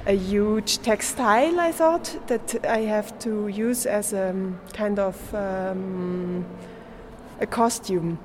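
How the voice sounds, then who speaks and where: A woman's voice: mid-range voice, a little mellow, slight background noise. A young woman from Switzerland, a native German speaker, answering interview questions in English, hesitant and searching for words, laughing often. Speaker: art student.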